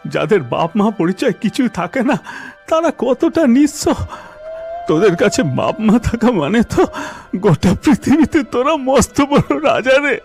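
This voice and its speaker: Guy voicing worked-up orphan in cartoon, very high n creaky, indignant, melodramatic, faint music. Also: big pitch swings, measured pace, a little mellow, heavily acted. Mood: indignant, moved. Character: indignant, emotional orphaned male cremation worker.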